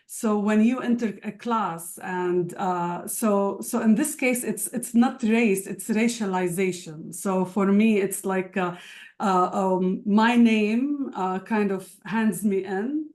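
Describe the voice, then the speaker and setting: A woman lecturer whose first language is Arabic, speaking on a virtual panel, thoughtful, with many pauses and restarts. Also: mid-range voice, a little mellow. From Palestinian citizen of Israel. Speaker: university lecturer. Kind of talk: panel discussion.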